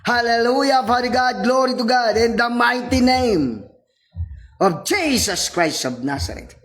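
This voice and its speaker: A middle-aged man with a Filipino accent and a husky voice, preaching a sermon with decrees and repeated phrases, checking in with the congregation. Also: extremely high pitch, expressive, a bit bright. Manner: slow, emphatic, repeats phrases and asks the congregation "No? Hello?". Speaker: pastor. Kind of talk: sermon with decrees and call-and-response.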